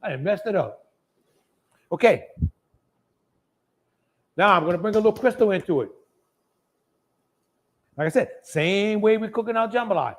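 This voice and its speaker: High-pitched, folksy man. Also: animated, normal speed, pauses often. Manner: folksy, chatty, colloquial. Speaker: host of a live cooking show. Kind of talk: casual live cooking demonstration.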